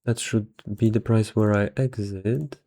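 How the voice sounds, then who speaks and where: A man's voice: on the low side, steady tone, a little slow, mellow. A man who sounds a little tired, casually thinking aloud as an investor working through valuations, hesitant and half muttering to himself. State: somewhat tired. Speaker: investor explaining stock valuation.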